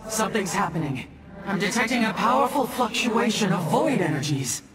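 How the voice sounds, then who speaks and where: A woman's voice: medium pitch, big pitch swings, moderate pace, very noisy, lightly acted. Woman as a steadfast alien warrior commander. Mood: concerned, steady. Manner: formal, earnest. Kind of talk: dramatic sci-fi game acting. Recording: loud music behind the voice.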